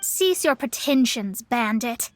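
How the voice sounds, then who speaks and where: A woman's voice: on the high side, animated, slow pace, slight background noise. An actress playing a proud, boastful young female astrologer in a video game, with a haughty, formal, theatrical manner. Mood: stern, challenging. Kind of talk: scripted video game dialogue. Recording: music behind the voice.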